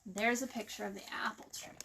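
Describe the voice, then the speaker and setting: A woman's voice: medium pitch, steady tone, brisk, creaky, pushed and bright, breathy edge, low-quality recording. A woman hosting a children's story time, explaining things informally and putting questions to her young listeners. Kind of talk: informal nature lesson for children, with read-aloud.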